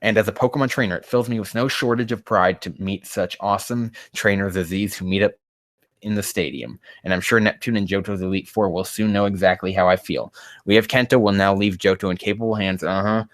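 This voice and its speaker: Low-voiced man doing sarcastic fan fiction readings. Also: fairly even intonation, brisk, dry recording. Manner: profane, mocking, exasperated outbursts. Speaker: host.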